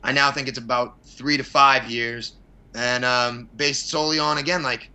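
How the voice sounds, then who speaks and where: A man's voice: medium pitch, fairly even intonation, normal speed, sharp tone, smooth. A man being interviewed as a podcast guest, chatting casually and rambling through blunt, opinionated answers with some mild swearing. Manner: blunt, opinionated, mildly profane. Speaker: podcast guest, fight promoter.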